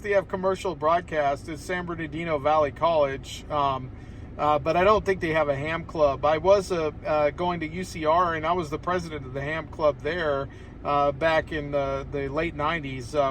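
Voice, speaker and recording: An American man chats casually on ham radio from inside a car. His voice sits a little high. The mic is some way off, with faint noise around him. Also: fairly even intonation, normal speed, a bit bright, far from the mic. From Southern California, United States. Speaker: amateur radio operator.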